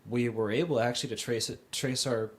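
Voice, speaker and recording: A man's voice: medium pitch, fairly even intonation, fairly quick. A man giving a reflective, personal talk. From United States. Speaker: conference speaker. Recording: faint background noise.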